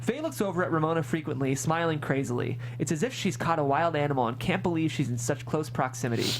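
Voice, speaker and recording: A man's voice: on the high side, animated, quick pace, slight background noise. A man reading stage directions as scripted narration at a screenplay table read.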